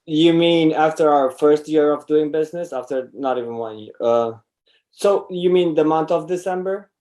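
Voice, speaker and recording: A man's voice: a little high, moderate pace, warm tone. Emphatic man with a Romanian accent. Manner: emphatic, repeats phrases. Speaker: interview guest, online seller. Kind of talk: casual interview.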